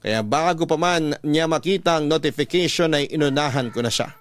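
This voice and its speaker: A man's voice: fairly high pitch, brisk, soft background music. A man with a Filipino accent reading a first-person love story in a classic radio storytelling style. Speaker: narrator reading a love letter.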